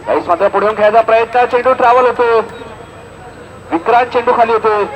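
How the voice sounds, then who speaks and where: A man's voice: extremely high pitch, fairly even intonation, fast talker. A man with a Maharashtrian accent giving live ball-by-ball cricket commentary from an outdoor ground. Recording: noisy outdoor sports ground recording.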